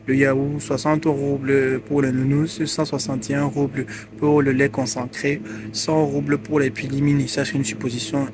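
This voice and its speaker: A man chatting casually in a vlog, teasing and joking with a friend. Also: medium pitch. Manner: joking, teasing, lively banter. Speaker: vlogger. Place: in Russia, indoors and in shops. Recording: music playing under the voice.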